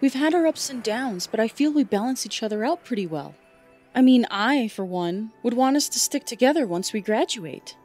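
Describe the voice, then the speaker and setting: A woman voices a teenage girl fighter with a fairly high voice, affectionate and sincere. She speaks in casual, halting phrases as a supportive best friend in an audiobook, over faint music. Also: animated, darkish tone. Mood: earnest, tender. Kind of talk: audiobook fan fiction dialogue.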